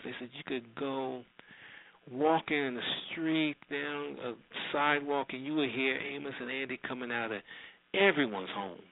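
Male radio host, casual and rambling, repeats himself for emphasis; dry-free roomy sound on a narrow phone-like line. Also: mid-range voice.

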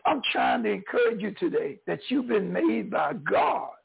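Hoarse older Southern pastor preaching. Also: male voice, high-pitched, big pitch swings, normal speed, phone-quality sound. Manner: preacherly, swings between loud and soft. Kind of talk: encouraging sermon.

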